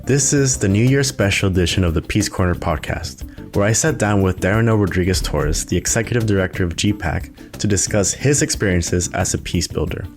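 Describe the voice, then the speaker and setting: Deep-voiced young man hosting a podcast. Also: fairly quick, faint music behind. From Nicaragua. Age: young adult. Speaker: podcast host and interviewer. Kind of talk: podcast introduction and interview questions.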